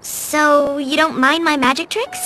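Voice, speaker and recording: A woman's voice: sits high, steady tone, a little slow, pushed and bright, faint music behind, poor audio. A woman voicing an earnest, modest and studious young female unicorn in a cartoon, explaining things carefully. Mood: apologetic. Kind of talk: animated cartoon acting.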